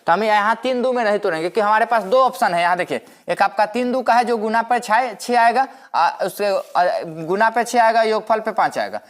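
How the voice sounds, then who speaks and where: A man's voice: sits high, fairly quick, hardly any pauses, a bit bright. A man giving a maths lecture as a teacher, explaining step by step, repeating himself and posing rhetorical questions. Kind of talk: step-by-step classroom-style lecture.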